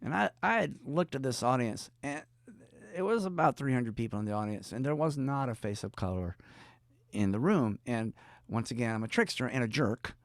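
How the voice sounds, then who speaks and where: A man's voice: medium pitch, lively intonation, moderate pace, dry recording, clean studio sound. An older man from Georgia with a Southern US accent, telling anecdotes casually as an interview guest, starting and stopping and acting out bits of dialogue. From Deep South, Georgia, United States. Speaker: professional storyteller, guest.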